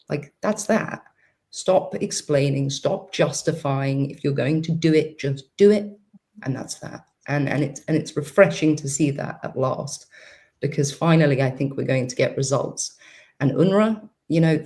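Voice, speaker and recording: A woman's voice: low-pitched, a little mellow. A woman on a discussion panel speaking bluntly and emphatically. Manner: emphatic, blunt, quotes herself. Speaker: panel guest.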